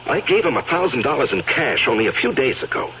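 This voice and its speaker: A man's voice: mid-range voice, big pitch swings, fast. An actor playing a hard-headed, grimly insistent man in a radio mystery drama, with emphatic delivery. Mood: exasperated, insistent. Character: tense, hard-headed man cornered by a blackmailer. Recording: muffled old radio, faint noise.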